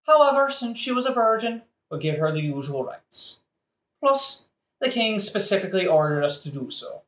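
An actor in a satirical audio play playing a dry, matter-of-fact character who answers in a deadpan, officious way. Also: mid-range voice, normal speed. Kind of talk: satirical modern-language audio play acting. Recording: echoey room.

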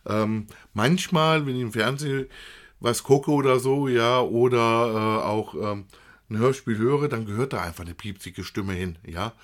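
A man's voice: medium pitch, unhurried. A man co-hosting a casual podcast, rambling and speaking straight to the listeners. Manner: rambling, addresses listeners directly. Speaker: podcast co-host. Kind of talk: casual chat about audio dramas.

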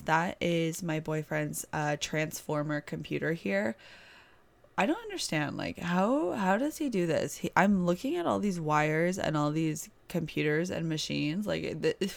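A young woman talks through a solo podcast episode, her voice low with plenty of gravelly fry. She has a cold, so she sounds stuffy and a bit rough in the throat. Her delivery is loose and rambling, full of asides and "like". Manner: chatty and rambling, many "like"s. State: stuffy nose, sore throat. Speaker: podcast host. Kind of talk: casual solo podcast chat. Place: boyfriend's house, indoors.

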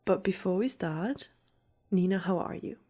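A woman's voice: medium pitch, unhurried, dark tone, breathy edge. A young woman co-hosting a podcast, chatting casually with her co-host and laughing often. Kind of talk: casual chat.

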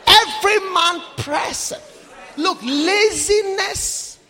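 A man from Africa preaching a sermon, swinging between shouted lines and low, quiet asides. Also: takes it slowly. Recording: live sermon with long hall reverb.

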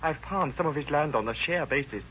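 An actor playing a plain-spoken, folksy farmer witness in 1930s radio drama style, matter-of-fact. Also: male voice, fairly high pitch, lively intonation, fairly quick, a bit bright, slight background noise. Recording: dull vintage radio recording.

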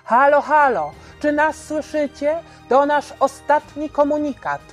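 Solemn wartime radio announcer, voiced by a woman, high and deliberate, formal declamation for a historical audio drama. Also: expressive, soft background music. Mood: solemn, defiant. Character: solemn wartime Warsaw radio announcer giving the last broadcast. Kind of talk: 1930s radio announcement acting.

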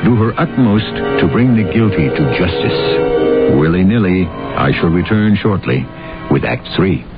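A man hosting an old radio mystery series, narrating in a sardonic, deliberate and dramatic theatrical style. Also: deep voice, animated. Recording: dull old radio recording. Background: loud music.

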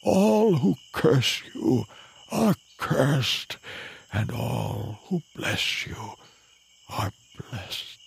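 An actor playing a frail, aged biblical father, solemn and halting, with a creaky voice, in an audio drama. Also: medium pitch, sing-song, heavily acted. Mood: solemn blessing. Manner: slow, halting, solemn delivery. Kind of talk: dramatized audio Bible acting.

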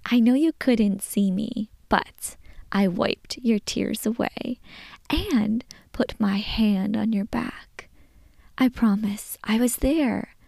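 Lady whispering as a gentle jesus writing to a kid, slow soft whisper, close dry sound. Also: on the high side, creaky, no echo, full character voice. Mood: tender. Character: gentle loving male Jesus writing to a child.

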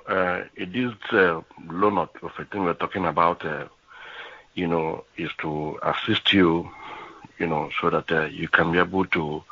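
A man on a phone call, halting and full of fillers as he explains a loan offer. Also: deep voice, pushed and bright, dry recording. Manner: halting, many fillers and "you know". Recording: dry, dull phone-line audio.

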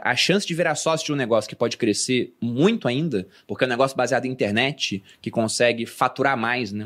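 A Brazilian man co-hosting a podcast, explaining things and telling stories in casual chat, getting animated as he goes. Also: medium pitch. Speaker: podcast co-host.

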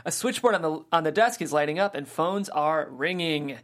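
Casting: table read narrator, steady reading, mid pitch. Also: moderate pace. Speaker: narrator reading stage directions. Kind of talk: reading a screenplay aloud.

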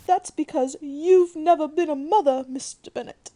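A woman's voice: high-pitched, normal speed, mellow, lightly acted. A woman playing a fretful, tearful middle-aged wife and doting mother in a melodramatic radio play. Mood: calmer, resigned. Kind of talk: 1940s radio acting.

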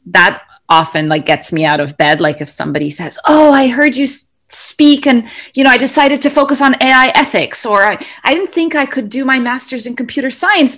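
A woman's voice: mid-range voice, a bit bright. A woman from Egypt answering interview questions earnestly, with many fillers and restarts. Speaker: interview guest, tech founder.

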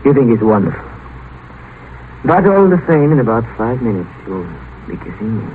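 An actor playing a smooth, scheming doctor and seducer, sardonic and teasing, in a 1950s radio play. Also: male voice, medium pitch, very animated, moderate pace, lightly acted. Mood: mocking. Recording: tinny, noisy old radio broadcast.

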